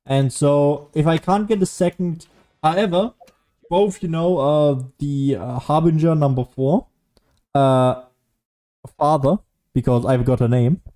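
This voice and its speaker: A man giving casual solo gameplay commentary as a streamer, rambling and thinking out loud as he goes. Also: a little high, a little slow. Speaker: gaming streamer.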